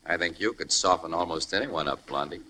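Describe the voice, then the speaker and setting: A man's voice: low voice, fairly quick, sharp tone, slight background noise, rough recording. An actor in a 1940s-style radio crime drama playing a slangy, nonchalant tough guy posing as an ex-convict garage hand. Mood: flirtatious. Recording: old-time radio broadcast recording.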